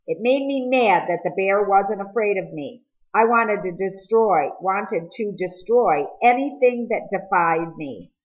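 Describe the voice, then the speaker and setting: A woman voicing an earnest, remorseful teenage boy in a storybook, confessing in a serious, halting manner. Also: medium pitch, animated, muffled recording, close to an everyday voice. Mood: frank, agitated.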